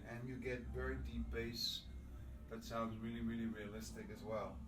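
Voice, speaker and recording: Guy pitching gear informally, mid-range voice, roomy echo, bit far from the mic. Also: background noise. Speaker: audio distributor presenting a demo. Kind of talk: informal product presentation. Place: small demo room at an audio show. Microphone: binaural microphone at a distance. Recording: echoey room, distant from mic.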